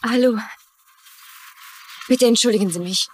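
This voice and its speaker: An anxious, guarded young woman played by an actress in a dubbed TV drama. Her voice is very high and she sounds tense and defensive. Faint noise sits behind her. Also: a little slow, darkish tone, natural voice. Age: young adult. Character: anxious, guarded young daughter hiding a secret. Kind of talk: dubbed TV drama dialogue in German.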